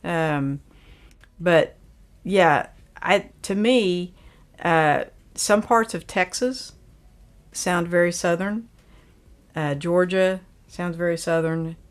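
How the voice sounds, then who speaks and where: A woman's voice: on the low side, slow pace, long pauses. An older woman, hosting a livestream and chatting casually in a folksy, rambling way as she reads out viewer comments. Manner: folksy, rambling, with frequent fillers. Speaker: livestream host.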